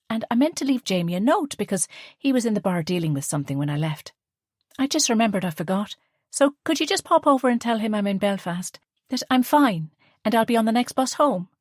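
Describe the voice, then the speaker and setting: A woman's voice: medium pitch, no room sound, studio quality, pitched above the performer's own voice. A woman voicing a polite, nervous young married woman who speaks hesitantly.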